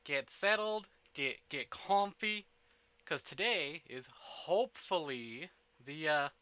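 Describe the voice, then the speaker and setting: A man's voice: fairly high pitch, expressive, slow pace, pushed and bright, smooth, no echo, dull audio. A man hosting a livestream in casual, rambling, informal chat with some mild swearing, speaking close to the microphone. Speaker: livestream host.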